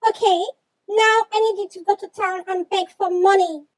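A woman doing a shrill, bossy evil babysitter. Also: fairly even intonation, moderate pace, no echo. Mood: bossy, menacing. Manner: taunting, bossy, sneering. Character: cruel, gloating, insulting female babysitter villain. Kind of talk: game roleplay character acting.

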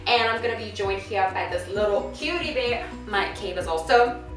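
A woman's voice: medium pitch, lively intonation, normal speed. A young woman from South Africa in her twenties, presenting a casual home cooking tutorial in a chatty, upbeat way. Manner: chatty, upbeat, laughs often, talks to her toddler. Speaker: cooking vlogger. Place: home kitchen, indoors. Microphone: camera on tripod at a distance. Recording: roomy kitchen sound, from a distance, music behind.

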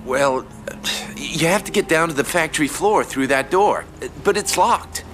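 A jittery trapped worker. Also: male voice, fairly high pitch, expressive, a little slow, fairly bright tone, faint music behind. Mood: nervous.

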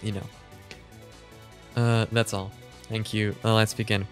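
A man in his twenties giving casual, animated reaction commentary with halting starts, close on a studio mic. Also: on the low side, faint music behind. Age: 20s. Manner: halting, animated, laughs easily. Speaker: host of a reaction video series. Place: small room at home, indoors. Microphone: close boom-arm studio mic.

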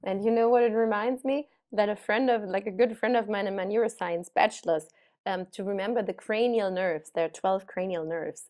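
A woman guest talking about neuroscience research on a video call, chatty and animated, with many fillers and restarts. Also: medium pitch, brisk. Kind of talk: casual video-call conversation.

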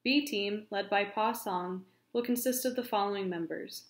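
A woman's voice: mid-range voice, moderate pace, natural voice. A woman reading the lines of a terse, firm adult male expedition leader from a novel. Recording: roomy echo.

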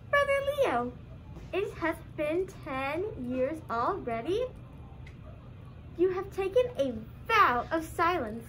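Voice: very high voice, pushed and bright, a little hiss or hum, full character voice, rough recording. A young teen performer playing an earnest, puzzled puppet in a comedy skit. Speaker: student puppeteer in a school drama show.

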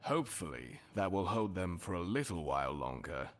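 An actor playing a stressed, overworked blacksmith in a fantasy game, blunt and exasperated. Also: male voice, deep voice, very animated, normal speed, faint music behind. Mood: weary. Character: gruff, stressed, overworked male village blacksmith.

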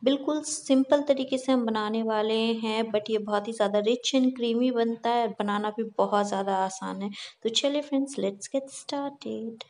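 A woman's voice: a little high, steady tone, unhurried, a little mellow. A young adult woman narrating a recipe step by step in a friendly way, hosting her own cooking channel, mixing English words into Hindi. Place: home kitchen.

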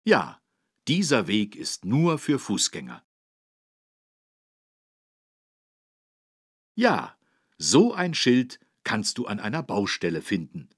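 A low, husky-voiced man as a friendly teacher in a children's learning game. His scripted narration is patient and encouraging, with very lively intonation. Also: a little slow, no echo, clean studio sound, heavily acted. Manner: encouraging, clearly didactic. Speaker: instructor and narrator.

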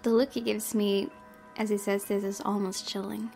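Expressive woman doing a let's play. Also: mid-range voice, moderate pace, creaky. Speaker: gaming video host. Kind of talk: let's play, reading game text aloud with reactions. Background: background game music.

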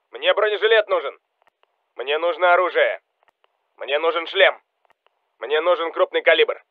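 An actor playing a Russian special forces soldier calling out commanding military game lines over a radio. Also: male voice, high-pitched, no echo, narrow, tinny audio. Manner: urgent, commanding.

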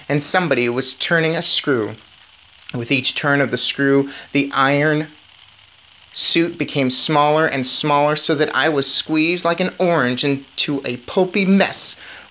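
A man's voice: mid-range voice, pushed and bright. A man in his thirties reading a children's novel aloud with expression. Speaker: story reader.

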